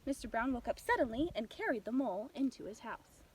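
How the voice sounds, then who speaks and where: A woman reading a children's bedtime story as an expressive narrator. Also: on the high side, a little fast, slightly rough, low-quality recording.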